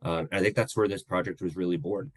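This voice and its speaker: Earnest man in his thirties, low voice, dry close sound, careful and a little hesitant. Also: fairly even intonation, quick pace, no room sound. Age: 30s. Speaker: guest co-host. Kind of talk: casual podcast introduction.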